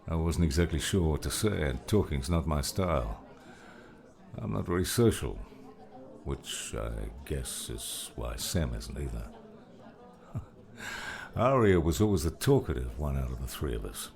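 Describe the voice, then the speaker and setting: A man voicing a gruff, laid-back older man with a gravelly voice and a teasing, fatherly manner in an audiobook. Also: very deep voice, very animated, measured pace, long pauses, a little mellow, voice pushed down, heavily acted. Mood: wry, reflective. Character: gruff, casual older grey-haired man, wry and fatherly. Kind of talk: audiobook character dialogue.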